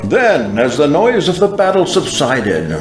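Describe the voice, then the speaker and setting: A man's voice: fairly high pitch, big pitch swings. A man playing a fervent mid-century evangelist recounting an apocalyptic vision in a solemn, dramatic monologue. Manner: solemn, slow, dramatic storytelling. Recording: roomy echoing voice over music. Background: music.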